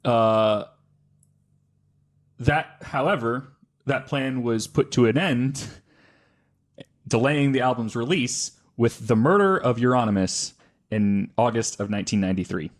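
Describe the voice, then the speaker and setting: A man with an average-pitched voice hosts a podcast, telling a story from his notes. He talks casually and haltingly, stopping to correct himself and work out tricky names. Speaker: podcast host.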